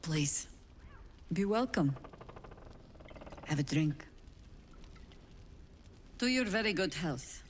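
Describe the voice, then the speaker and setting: An actress playing a battle-worn, wary elder druid leader in a video game, speaking in a measured, wry, grave way. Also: female voice, sits low, expressive, a little hiss or hum, full character voice. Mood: wry, hospitable. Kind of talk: dramatic video game dialogue.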